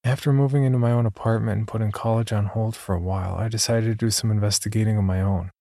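A man performing scripted first-person horror narration as an uneasy young man, in a measured, confiding way with deliberate pauses. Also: fairly low pitch, fairly even intonation, creaky, warm tone, no room sound. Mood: reflective, uneasy. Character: uneasy young man recounting his sleep disorder. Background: background music.

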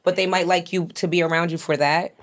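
A woman, a podcast guest telling stories in an animated, lively way, acting out the voices she quotes. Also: on the low side, fast. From Long Island, New York. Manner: animated storyteller who acts out quoted voices. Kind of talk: casual chat.

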